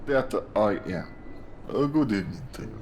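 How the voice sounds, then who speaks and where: A man's voice: lowish voice, lively intonation, moderate pace, a little hiss or hum, close to an everyday voice. A man playing a chatty, hungry deputy sidekick with an ingratiating manner in an audio drama. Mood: eager.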